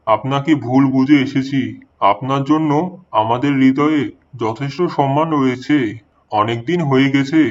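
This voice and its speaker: A man voices a serious, earnest father in a moral cartoon. His mid-pitched delivery is measured and deliberate, and the recording sounds a touch muffled. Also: natural voice. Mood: respectful, pleading. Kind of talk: dramatised moral story dialogue.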